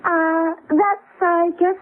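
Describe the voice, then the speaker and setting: An actress with an American accent playing an excitable, wide-eyed secretary wife in a 1970s radio drama. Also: female voice, very high voice, extremely slow, phone-quality sound, faint music behind, exaggerated voice. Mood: giddy, theatrical. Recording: band-limited old radio broadcast.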